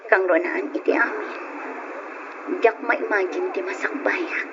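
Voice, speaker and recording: A practical young Filipino woman played by an actress in a radio drama, with a medium-pitched voice. She is a girlfriend who wants more from life, heard through a muffled recording with plenty of noise. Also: a little slow, natural voice. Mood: serious, disappointed. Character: practical young woman dissatisfied with her poor boyfriend.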